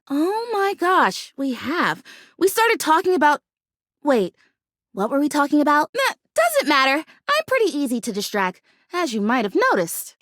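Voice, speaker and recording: A woman's voice: high-pitched, fairly bright tone, no room sound, clean studio sound, heavily acted. A woman voicing a bubbly, scatterbrained young plastic houseplant in a video game, chatty and gushing. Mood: eager, scatterbrained. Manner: gushing, chatty, easily distracted, exclamatory. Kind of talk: animated video game character acting.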